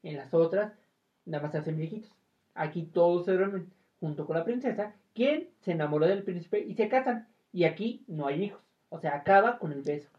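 Low-voiced Mexican woman, muffled recording. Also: animated, a little fast. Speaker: podcast host. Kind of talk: casual chat retelling film trivia and tales. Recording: dull, lo-fi audio.

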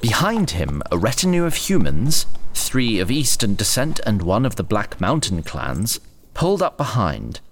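A man narrating a scripted fantasy story in a deliberate storytelling delivery. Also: fairly low pitch, wildly swooping pitch.

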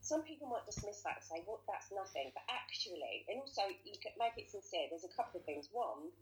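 A woman's voice: medium pitch, very animated, brisk, a little hiss or hum, rough recording. A woman being interviewed as an author, answering in an earnest, thoughtful way with hesitant phrasing. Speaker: author and guest.

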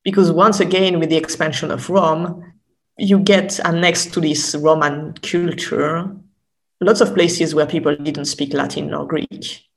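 Low voiced woman academic being interviewed, slow and halting, keeps rewording as she explains. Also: steady tone, vocal fry, rough recording. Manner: halting, thoughtful, rephrasing often. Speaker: academic guest expert. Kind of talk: unscripted explanatory interview talk.

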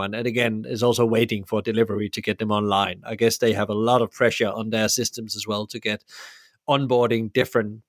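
A man's voice: medium pitch, dry recording. Hesitant man from Denmark, dry sound. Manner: hesitant, many fillers and restarts. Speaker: interview host. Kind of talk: conversational interview.

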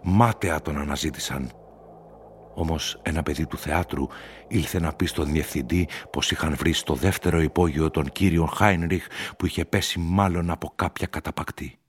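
A man narrating a literary radio play in a measured storytelling manner. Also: a bass voice, a little hiss or hum. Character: male storyteller of a gothic theatre tale.

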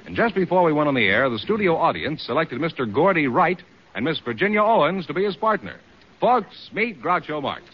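An American male announcer in the old radio style, with a fairly high voice and very animated pitch. He presents a quiz show on a dull vintage recording. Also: a little fast, slightly rough. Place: studio stage before an audience.

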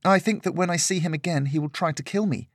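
Man voicing an eager young woman hero for a fantasy audiobook, high pleading voice, dry sound. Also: fast talker, dry recording. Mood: earnest pleading. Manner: earnest, pleading. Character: young female adventurer, earnest, eager.

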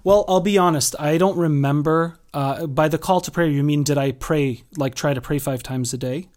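A man's voice: a little high, normal speed, a little mellow. A man in his thirties from Massachusetts, a podcast guest talking casually about his upbringing, joking and filling his speech with "uh" and "you know". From Massachusetts, USA. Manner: chatty, joking, many fillers and restarts. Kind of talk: casual interview talk.

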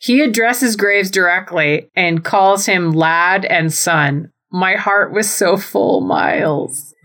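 A woman's voice: on the low side, slow, fairly bright tone. A woman hosting a wrestling podcast, recapping and chatting casually in a sweary, playfully sarcastic, enthusiastic way.

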